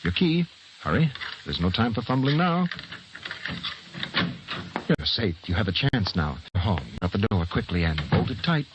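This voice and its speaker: Deep-voiced, menacing radio thriller narrator. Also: male voice, big pitch swings, moderate pace, pauses often, lo-fi sound, noisy background. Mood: urgent, ominous. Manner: theatrical, insistent, addresses the victim directly. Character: menacing, taunting male narrator stalking a doomed man with words. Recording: old radio drama with music behind.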